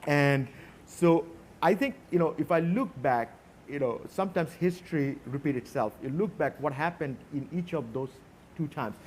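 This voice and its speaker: A man's voice: on the high side, normal speed, darkish tone, slight background noise. A man from Bangladesh with a Bangladeshi accent, speaking reflectively and telling anecdotes as a conference panelist.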